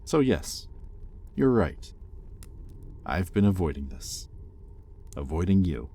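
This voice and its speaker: A man's voice: deep voice, big pitch swings, slow, slightly rough, heavily acted. A man playing a bitter, conflicted demon in a roleplay audio drama, speaking to his captive with brooding intensity. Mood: resentful, resigned. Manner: brooding, intense, swinging between hushed confession and heated accusation. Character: bitter, conflicted male demon, possessive owner of a captive angel. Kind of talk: scripted roleplay audio acting, addressed to the listener.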